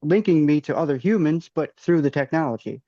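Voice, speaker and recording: A man in his forties, a podcast guest, speaking casually with soft hesitation and frequent ums. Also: on the high side, expressive, a little fast, no echo, highs cut off. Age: 40s. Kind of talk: casual interview chat.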